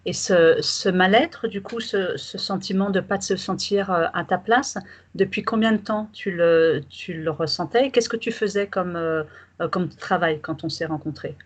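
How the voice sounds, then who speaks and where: A woman life coach interviewing a client over a video call, asking questions haltingly with many fillers. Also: lowish voice, breathy edge. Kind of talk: conversational interview.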